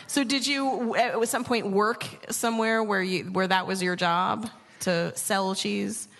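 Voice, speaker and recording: A woman hosting a conversational live interview, asking questions in a tentative, halting way. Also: medium pitch, fairly even intonation, vocal fry, a bit bright. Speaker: radio show host interviewing. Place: large hall, live radio show. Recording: reverberant live hall.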